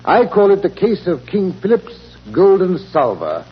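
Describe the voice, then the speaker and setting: An actor playing a fussy, grumbling but amiable English gentleman sidekick, with a British accent, in a 1940s radio drama. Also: high-pitched, wildly swooping pitch, a little slow, husky, exaggerated voice. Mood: genial storytelling. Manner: fussy, blustering, indignant. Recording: muffled, noisy 1940s radio recording.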